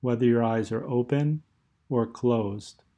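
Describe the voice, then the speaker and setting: Very slow, mellow man guiding meditation. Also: mid-range voice. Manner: soothing, measured, counting with long pauses. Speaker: guided meditation host. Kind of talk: guided meditation narration.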